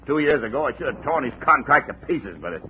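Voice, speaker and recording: An actor playing a gruff, rambling, excitable boxing manager who swings from shouting to muttering, in 1950s radio drama style. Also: male voice, mid-range voice, fast, close to an everyday voice. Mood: grumbling, rambling. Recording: muffled, noisy 1950 radio recording.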